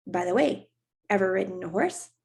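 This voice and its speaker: A woman reading aloud and voicing a restless, boastful young boy who pesters with quick questions. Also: medium pitch, expressive, creaky. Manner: teasing, pestering, rapid-fire questions.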